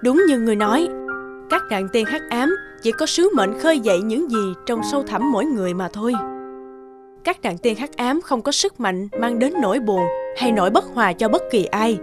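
A wise old fairy elder, acted by a woman, in a slightly high voice. She admonishes with measured authority in cartoon fairy-tale style. Music runs clearly underneath. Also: animated, natural voice. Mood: stern, approving. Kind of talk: animated fairy tale dubbing. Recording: music behind the voice.

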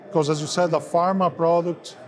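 A man in his forties speaking haltingly with many fillers, interviewed at a trade fair on a lapel mic. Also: a little high, fairly even intonation. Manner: halting, many filler sounds, slightly non-native phrasing. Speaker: sales head of an ink and coatings firm. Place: busy trade fair hall, indoors. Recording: crowd noise of a trade fair. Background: crowd chatter.

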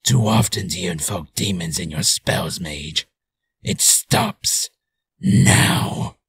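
A man voicing a menacing demonic avenger with a rasping growl, grim and threatening, for a game character reel. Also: sits low, slow pace, fairly bright tone, dry recording, voice pushed down, exaggerated voice. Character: menacing male demonic avenger passing judgment.

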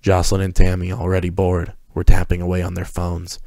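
A man with a low voice reads a story aloud as its narrator. The delivery is a dramatic reading, recorded close with very little room sound. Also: completely flat delivery, fairly quick, darkish tone, no room sound. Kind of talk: dramatic reading of prose fiction.

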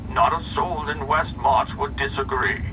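An older actor playing a kindly, rambling old fantasy sage from a video game, speaking in a measured, grandfatherly storytelling manner. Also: mid-range voice, lightly acted. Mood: reminiscing. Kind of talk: fantasy game character narration. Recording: muffled, distant sound with clear background noise.

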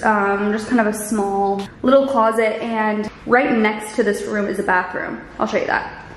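A woman's voice: medium pitch, a little hiss or hum. A young woman in her twenties chatting casually as she walks the camera through an empty house, full of "like" and "you know". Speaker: vlogger giving a home tour. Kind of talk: casual walk-through vlog. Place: empty house, bare rooms. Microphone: handheld camera at arm's length. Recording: echoey empty rooms.

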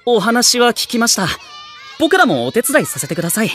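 A woman's voice: on the high side, sing-song, brisk, a bit bright. A woman playing a polite, easygoing teenage boy hero in an anime audio drama, speaking casually and considerately. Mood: helpful.

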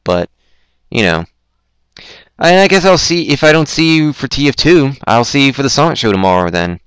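A young man hosting a casual online show, rambling and self-deprecating, with lots of stumbling restarts and a throat that is going hoarse. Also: medium pitch, sing-song, moderate pace, fairly bright tone, no echo. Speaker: podcast host. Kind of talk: casual chat on a Skype call.

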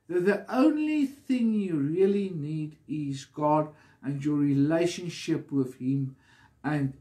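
Male preacher, higher-set mellow voice, earnest devotional delivery in short, deliberate phrases. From South Africa. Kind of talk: devotional sermon.

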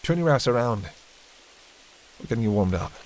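A man's voice: mid-range voice, moderate pace, close to an everyday voice, rough recording. A man playing a sardonic, teasing vampire in an intimate roleplay, talking in a conversational, bantering way with dry sarcasm. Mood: exasperated, fussing. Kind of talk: intimate audio roleplay monologue. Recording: steady hiss and rain behind. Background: rain.

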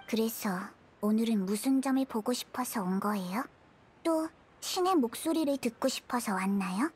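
A woman's voice: a little high, lively intonation, normal speed, slightly rough. A woman voicing a devout, easily offended young girl fortune-teller in a video game, speaking solemnly. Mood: calm, solemn. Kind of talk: Korean video game voice acting. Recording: loud music under the voice. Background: music.

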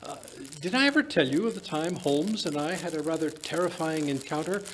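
A man's voice: fairly high pitch, a little fast, a little hiss or hum, natural voice. An older man playing a genial elderly British doctor in a radio play, an avuncular storyteller who hesitates and rambles. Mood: relishing storyteller. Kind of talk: old-time radio drama acting. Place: small stage in a museum, live performance. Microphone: vintage-style stand microphone.

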